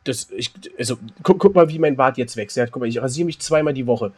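A man's voice: medium pitch, a little fast, slightly rough. A man co-hosting a podcast, chatting casually, often hesitant and trailing off mid-sentence. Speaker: podcast co-host. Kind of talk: casual chat.

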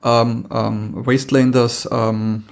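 Austrian guy co-hosting a podcast, medium pitch, kinda stumbles and repeats himself. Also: level tone, takes it slowly, creaky. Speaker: podcast co-host. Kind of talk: casual chat.

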